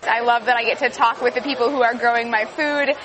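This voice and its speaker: High-voiced woman, noisy crowd. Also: fairly even intonation, a little fast. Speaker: market customer. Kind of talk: casual interview.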